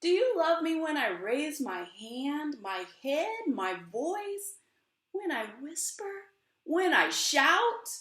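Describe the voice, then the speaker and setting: A middle-aged American woman reading a picture book aloud as its author, with measured, dramatic phrasing. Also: high voice, very animated, fairly bright tone. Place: small room indoors at home. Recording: roomy indoor echo.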